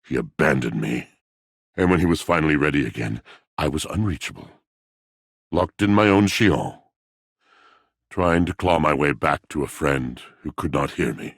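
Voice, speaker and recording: Gravelly, extremely deep, brooding romantic. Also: male voice, expressive, moderate pace, pauses often, no echo, studio quality, heavily acted. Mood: bitter, wounded. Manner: grandiose, poetic, mournful theatrical delivery. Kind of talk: theatrical video game character monologue.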